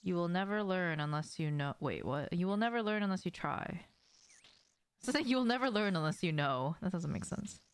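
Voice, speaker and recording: A woman's voice: on the low side, expressive, normal speed, smooth. A young woman chatting casually as she streams a game, informal and prone to swearing, speaking to her viewers in a small room. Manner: informal, swearing, addressing viewers and reacting to gameplay. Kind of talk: casual livestream chat while gaming. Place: small indoor room at home.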